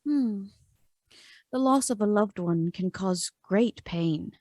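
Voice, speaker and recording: A young actress playing a reserved, melancholy gentlewoman in a radio play, speaking in a measured, formal and guarded way. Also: medium pitch, no echo, clean studio sound. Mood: composed. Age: young adult.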